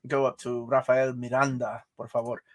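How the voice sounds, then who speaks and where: A young man co-hosting a casual comics livestream, friendly and full of fillers and restarts. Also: mid-range voice. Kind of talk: casual chat.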